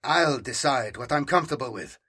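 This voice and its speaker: A man's voice: mid-range voice, expressive, brisk, bright, forceful tone, slightly rough. A man voicing an uneasy, resentful male scribe in an audiobook, with a defensive, snappish manner. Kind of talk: audiobook character dialogue.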